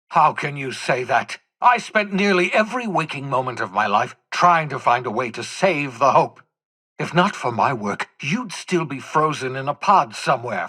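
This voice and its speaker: Raspy, theatrical older scientist. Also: male voice, medium pitch, pushed and bright, full character voice. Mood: indignant. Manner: theatrical, emphatic, articulate phrasing. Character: eccentric older fugitive scientist, obsessive, theatrical, bitterly self-reproaching. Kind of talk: dramatic video game dialogue.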